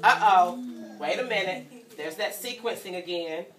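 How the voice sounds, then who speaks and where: A woman's voice: lowish voice, normal speed, crowd noise. A woman reading a story aloud to young children, asking questions and prompting them to repeat as a teacher would. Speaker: guest reader and teacher at children's story time. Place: indoor room with a group of children. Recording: roomy, distant mic with children around. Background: children's voices.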